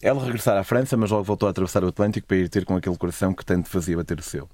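A man's voice: deep voice, very fast, a little mellow, no room sound, clean studio sound. A man with a European Portuguese accent narrating a scripted, literary first-person travel story. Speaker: narrator of own travel stories.

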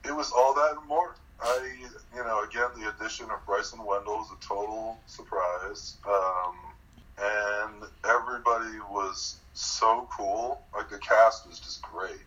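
A young man casually recounting his game in an interview, talking loudly and excitedly with lots of fillers and laughs. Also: fairly low pitch, unhurried, highs cut off. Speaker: eliminated contestant guest.

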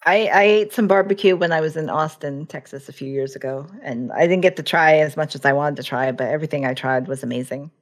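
A woman with a low voice co-hosting a stream, reading out comments from the chat. She is relaxed and often trails off while she hunts for the right word. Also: fast talker, few pauses. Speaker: stream co-host.

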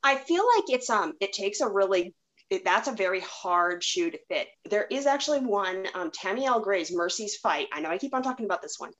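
A woman's voice: medium pitch, expressive, brisk, fairly bright tone. A woman hosting a podcast in a casual, chatty, anecdotal way. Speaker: podcast host. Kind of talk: casual chat. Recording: slightly dull, lo-fi microphone.

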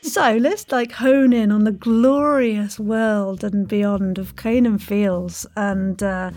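A woman's voice: medium pitch, fairly even intonation, takes it slowly, darkish tone, faint music behind. Playful woman hosting a podcast. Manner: playful, effusive. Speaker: podcast host. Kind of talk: casual interview chat.

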